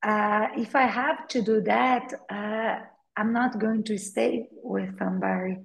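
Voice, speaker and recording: A middle-aged Brazilian woman with a Portuguese accent, speaking English as a second language, talking as an interview guest and pausing often with "uh" and repeated words. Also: medium pitch, steady tone, creaky, low-quality recording. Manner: hesitant, with frequent "uh" pauses and repeated words. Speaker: guest, attorney and novelist. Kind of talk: conversational interview.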